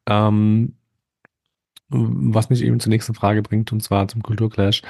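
A man interviewing a guest, asking long, calm questions with occasional fillers. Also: on the low side, unhurried, gravelly, slightly rough, no echo, clean studio sound.

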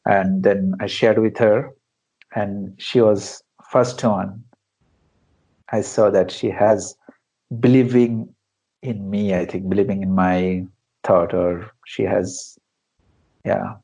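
Slow gravelly man from Bangladesh. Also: fairly low pitch, steady tone, dark tone, poor audio. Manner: hesitant, many fillers and restarts, non-native phrasing. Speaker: interview guest, founder of an education project. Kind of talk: conversational interview answers.

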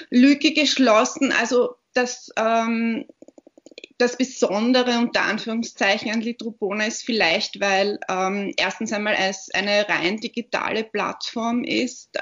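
A woman's voice: fairly high pitch, a bit bright. A woman with an Austrian accent, answering interview questions in a thoughtful, word-searching way. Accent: Austrian German. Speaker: podcast guest, literary platform founder. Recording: dull, muffled podcast recording.

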